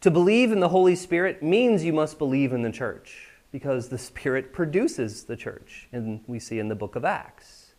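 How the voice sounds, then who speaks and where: A man's voice: fairly high pitch, expressive, moderate pace, few pauses, darkish tone. A man preaching a sermon in a church, talking with the congregation in a conversational way and often checking that they are following. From United States. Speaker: pastor. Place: church sanctuary.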